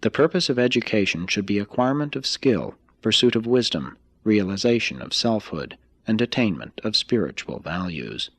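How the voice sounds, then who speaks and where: A man reading a scripted spiritual text like an audiobook, in a measured, emphatic manner. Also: lowish voice, very animated, vocal fry, breathy edge, dry recording.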